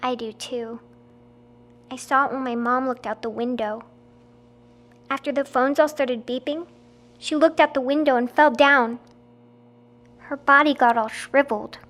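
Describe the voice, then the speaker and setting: A nine-year-old girl with a high, light voice. She talks earnestly and openly, in a childlike way. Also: steady tone, measured pace, pauses often, a bit bright.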